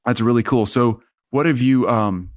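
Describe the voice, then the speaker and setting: A man's voice: medium pitch, moderate pace, no echo, clean studio sound. A man hosting a podcast, chatting informally as he puts interview questions to a guest. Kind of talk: casual conversational interview.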